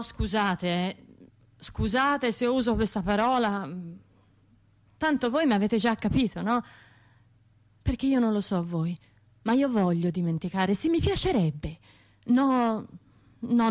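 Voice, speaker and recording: An actress with a Tuscan accent playing a wounded, self-reproaching bombing survivor in an intimate radio drama monologue, halting and colloquial. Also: female voice, medium pitch. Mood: pained, pleading. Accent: Tuscan Italian. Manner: halting, colloquial, shifting between murmurs and outbursts.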